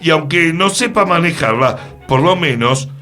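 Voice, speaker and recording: An actor playing an earnest, formal radio co-host bewildered by magic in a scripted radio play. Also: male voice, fairly high pitch, expressive, moderate pace, bright, forceful tone, faint music behind. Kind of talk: scripted radio theatre dialogue.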